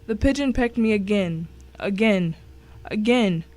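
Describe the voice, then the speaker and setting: A woman reads a suspense story in the first person. Her medium-pitched voice carries a dramatic narrating style. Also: lively intonation, unhurried, darkish tone, poor audio.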